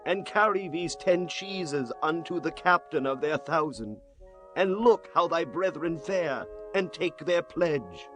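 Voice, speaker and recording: A man acts out a biblical elder in a high-pitched voice. He declaims each phrase slowly and deliberately in a scripture drama, over faint music. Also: expressive, a bit bright. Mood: instructing. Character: old father and townsmen, deliberate, declaiming.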